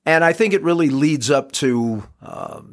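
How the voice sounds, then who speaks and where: An American man chatting as an interview guest in an informal, halting way, often repeating words. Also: fairly high pitch, animated. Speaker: guest, community theater actor. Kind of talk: casual interview chat.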